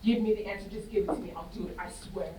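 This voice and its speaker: A woman playing a desperate, fiercely protective mother in a staged play reading, pleading haltingly and emphatically. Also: medium pitch, steady tone, mellow, natural voice. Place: stage in an echoey room. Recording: roomy, distant, faint noise.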